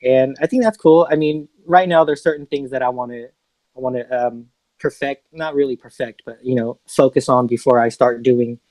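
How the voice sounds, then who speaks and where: A man's voice: medium pitch, fairly even intonation, moderate pace, a little mellow, no room sound, low-quality recording. Laid-back man, dry close sound. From San Francisco Bay Area. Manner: laid-back, hesitant, many fillers and restarts. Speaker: guest music producer. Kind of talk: casual podcast chat.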